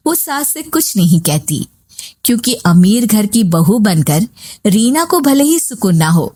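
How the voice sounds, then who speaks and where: A woman's voice: mid-range voice, sing-song, a little slow, a little mellow, breathy edge. A woman reading scripted narration for a moral family story.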